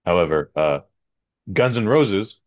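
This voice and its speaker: A man co-hosting a podcast in casual chat, relaxed and rambling, often restarting his sentences. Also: low-pitched, a little slow. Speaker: podcast co-host.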